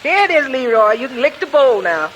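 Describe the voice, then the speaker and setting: A woman's voice: high voice, sing-song, a little fast, a bit bright, dull audio. A woman acting a motherly, folksy household cook in a 1940s radio comedy, colloquial and reassuring. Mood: soothing. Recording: hissy old radio recording.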